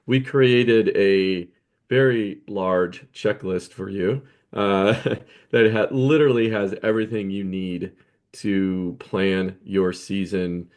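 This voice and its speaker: A man co-hosting a podcast in casual chat, talking in quick bursts with lots of uh and you know. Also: deep voice, fairly even intonation. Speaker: podcast co-host.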